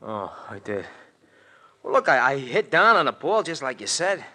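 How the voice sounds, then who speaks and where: A man's voice: mid-range voice, normal speed, heavily acted. An actor playing a brash, wisecracking male sidekick who grumbles in loose slang, in 1940s radio drama style. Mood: exasperated. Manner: slangy, ungrammatical, grumbling, animated. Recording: vintage golden-age radio recording.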